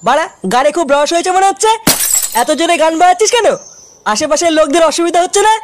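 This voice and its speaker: Cartoon neighbourhood big brother played by a man, West Bengali accent, coarse, fast, light noise with birds. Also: extremely high pitch, animated. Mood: scolding. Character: bossy, foul-mouthed male neighbourhood elder brother. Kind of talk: comedy cartoon dubbing.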